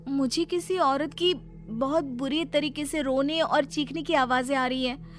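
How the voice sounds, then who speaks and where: A woman's voice: high-pitched, a little slow, soft background music, pitched above the performer's own voice. A woman voicing a young granddaughter in a cartoon story, emotional, pleading and full of questions. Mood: distressed pleading. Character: frightened, insistent young granddaughter, pleading and curious.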